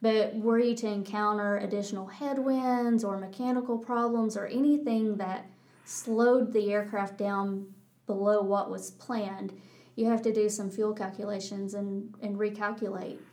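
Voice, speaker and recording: A middle-aged woman with a Texas accent, a pilot answering interview questions in a measured way, often restarting her sentences. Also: medium pitch. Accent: Texan. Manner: flat, measured, with many restarts. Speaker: pilot guest.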